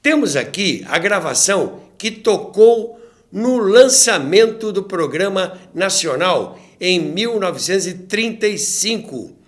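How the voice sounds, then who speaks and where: A man's voice: high voice, expressive, takes it slowly. A Brazilian man hosting and narrating radio history as a storyteller, with theatrical rhetorical questions. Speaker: podcast host and narrator.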